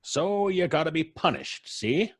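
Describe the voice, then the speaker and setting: An actor playing a folksy, gloating, merciless old country man in an old-time radio drama, with a husky, chuckling rural drawl. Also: a little high, lively intonation, measured pace. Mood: smug, unyielding.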